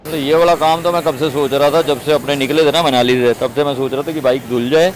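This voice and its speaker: A man's voice: on the high side, fairly even intonation, fast talker, a little hiss or hum. Casual man talking to camera. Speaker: motorcycle travel vlogger. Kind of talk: casual recap talk to camera. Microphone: camera at about arm's length.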